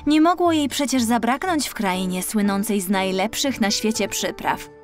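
A woman narrating a scripted fairy tale for children, an audiobook storyteller with expressive, carefully phrased delivery. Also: medium pitch, normal speed, faint music behind.

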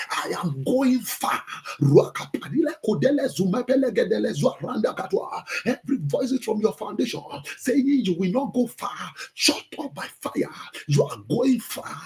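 A man with a Nigerian accent, a pastor leading fervent Pentecostal prayer in forceful, repeated declarations with a strained, gravelly voice. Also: extremely high pitch. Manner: forceful, repetitive chanting declarations.

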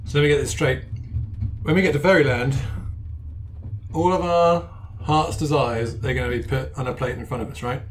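A man's voice: medium pitch, moderate pace, slight background noise, rough recording. A man playing a character in a tabletop game, blunt and sweary. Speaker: player.

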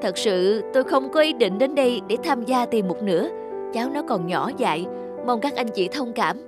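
A woman's voice: on the high side, expressive, normal speed, close to an everyday voice. A woman acts out a middle-aged single mother in a cartoon drama. She is modest and reluctant, earnest in her speech and tender toward her child. Music plays behind her. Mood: embarrassed. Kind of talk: animated moral drama acting.

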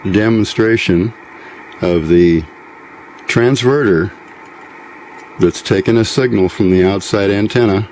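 A man giving a casual hands-on demonstration of homemade gear in an unhurried, halting, explanatory way. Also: low voice, darkish tone, poor audio. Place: small indoor workbench by a window. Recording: faint background noise.

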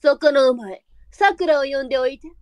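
A woman playing a shrewd, sharp-tongued, brisk brothel madam in a period audio drama. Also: high-pitched, dry recording, natural voice. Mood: wary, businesslike. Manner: blunt, switches to formal politeness with guests.

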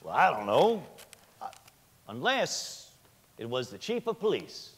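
A man's voice: medium pitch, sing-song, measured pace, a bit bright, a little hiss or hum. Guarded male witness played by an actor, echoey hall. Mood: guarded, defensive. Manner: terse, defensive. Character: guarded male official denying accusations on the stand. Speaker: actor in a staged dramatic reading.

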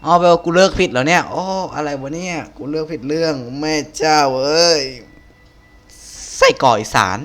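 A man's voice: fairly high pitch, normal speed, fairly bright tone, soft background music. A man giving casual playthrough commentary as a gaming video creator, talking to himself in crude slang.

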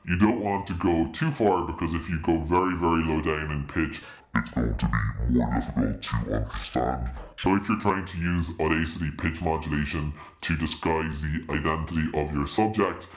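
A man explains in casual tutorial style, his voice digitally lowered to an extremely deep pitch. Added reverb makes it echo, like a disguised documentary witness. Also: normal speed. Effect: downward pitch shift with reverb, anonymised-witness voice. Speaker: YouTube tech presenter. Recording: echoey reverb on home-office recording.

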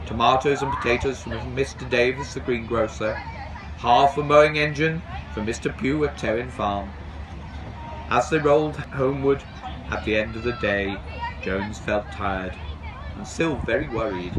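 A man's voice: mid-range voice, poor audio. A middle-aged man reading a children's storybook aloud outdoors. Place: on a bench outdoors. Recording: outdoors with background bustle.

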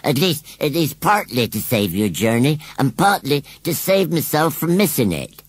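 A storybook scarecrow come to life, shambling and rustic. The speech halts and stammers, then stretches out, with very animated pitch. The character is touchy yet kindly, heard in a dry acoustic. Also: male voice, husky, dry recording, full character voice. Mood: halting, matter-of-fact. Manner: halting, stammering, drawn-out rustic phrasing.

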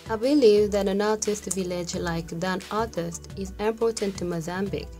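A middle-aged woman gives a formal, measured statement in an indoor museum interview. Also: on the low side, warm tone.

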